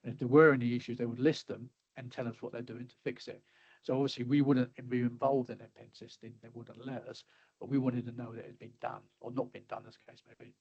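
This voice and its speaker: A middle-aged man giving a webinar talk over slides, halting and full of fillers and restarts, his voice a little hoarse as he keeps clearing his throat. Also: medium pitch, flat delivery, no room sound. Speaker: webinar presenter. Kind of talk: casual presentation with slides. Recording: slightly dull lo-fi audio.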